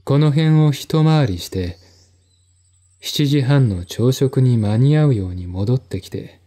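A man reading literary narration in a measured, deliberate, formal manner, as in an audio drama. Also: mid-range voice, warm tone. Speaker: narrator, solo audiobook reader.

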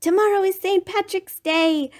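A woman voicing an eager young male rabbit, high and excited. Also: normal speed.

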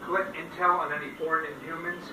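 Brisk man briefing, TV heard from afar. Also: on the high side, little pitch movement, recorded from a distance, background noise. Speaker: character on a TV show. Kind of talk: scripted TV drama briefing. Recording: TV in a roomy space heard from afar, with music.

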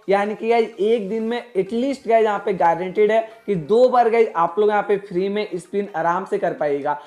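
A man's voice: sits high, darkish tone. A man with an Indian accent giving an informal tutorial voice-over as a gaming creator, repeatedly addressing his viewers. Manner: repetitive, constantly addressing viewers as guys.

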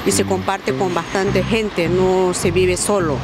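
A woman's voice: fairly low pitch, little pitch movement, slow, very noisy. A woman, answering hesitantly with many fillers at a bus stop. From Bolivia. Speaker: interviewee. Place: beside a bus stop outdoors.

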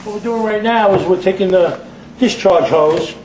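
Guy showing diy plumbing step by step, high voice, really echoey and far from the mic. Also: moderate pace, a little mellow. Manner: plain-spoken step-by-step explaining. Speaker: plumber instructor. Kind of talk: hands-on DIY demonstration. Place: indoors in a home kitchen. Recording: roomy echo, distant mic, dull lo-fi, noisy.

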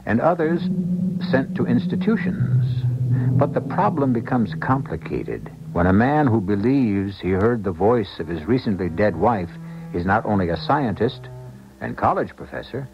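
Muffled old radio broadcast with music behind. A male host narrates a mystery drama in a low, animated voice, theatrical and portentous. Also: moderate pace. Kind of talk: 1970s American radio mystery narration, scripted.

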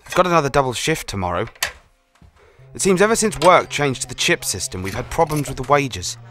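A man's voice: medium pitch, big pitch swings, fairly quick, pushed and bright, soft background music. A man acting an irritable, sickly young brother who grumbles and complains in a radio play. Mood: weary grumbling.

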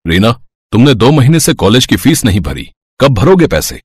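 Male actor as stern, dismissive boss for a cartoon fable: husky, demanding, swinging intonation. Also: mid-range voice, very animated, normal speed, no echo, studio quality. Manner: curt, demanding. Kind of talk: animated moral story character acting.

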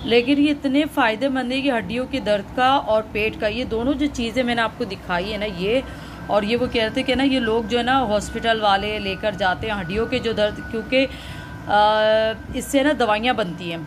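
A woman's voice: fairly high pitch, moderate pace. Woman vlogger chatting away while showing stuff, noisy background. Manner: chatty, explaining as she shows things. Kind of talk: casual home vlog chat.

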